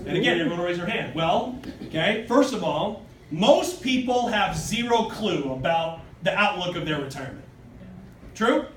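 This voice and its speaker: A man in his thirties giving an emphatic sales pitch to a seated audience, often asking "okay?" and "true?", recorded from afar on a phone in a meeting room. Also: animated, normal speed, crowd noise. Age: 30s. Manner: emphatic, keeps asking "okay?" and "true?", gestures broadly while standing. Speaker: sales presenter. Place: hotel meeting room, indoors. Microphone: far phone camera in the audience. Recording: roomy, distant phone recording.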